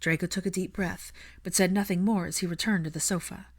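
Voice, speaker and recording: A woman's voice: on the low side, steady tone, a little fast. A woman reading scripted fiction as an audiobook narrator.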